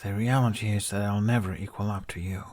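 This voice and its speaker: A man's voice: on the low side, steady tone, fast, a little mellow, close to an everyday voice. A man voicing a self-deprecating young man in an audiobook, downcast, wry and given to mumbling. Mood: dejected.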